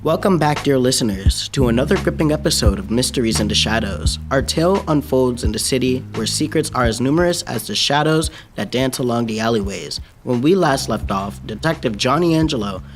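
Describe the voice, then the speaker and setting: A man's voice: mid-range voice, expressive, a little fast, few pauses, soft background music, natural voice. A young man playing the solemn, dramatic narrator of an old-time radio mystery show. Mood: ominous. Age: young adult. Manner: dramatic, formal storytelling.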